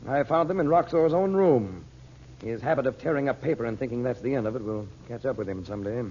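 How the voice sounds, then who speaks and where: A man's voice: mid-range voice, expressive, fast talker, darkish tone, natural voice. A man doing a firm, reassuring hero, old radio drama, dull muffled sound, faint hiss. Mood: earnest. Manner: earnest and firmly reassuring. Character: steady adult man guarding a secret. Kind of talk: 1930s radio drama acting.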